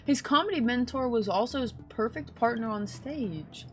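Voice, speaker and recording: A young woman streamer giving casual, slangy, joking reaction commentary on a game in an excitable way. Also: fairly high pitch, moderate pace, soft background music.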